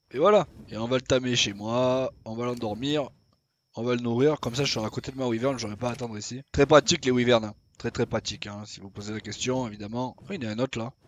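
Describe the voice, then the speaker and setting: Young guy rambling over his game, casual swears, talking to himself, bit of game noise behind. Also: medium pitch. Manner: chatty, swears casually, talks to himself while playing. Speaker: gaming YouTuber. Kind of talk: casual gameplay commentary.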